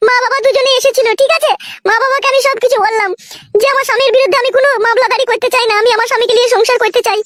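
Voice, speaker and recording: A woman's voice: very high-pitched, steady tone, very fast, a bit bright. A woman playing a devoted, pleading, long-suffering wife in a comedy cartoon, submissive and insistent. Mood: reassuring.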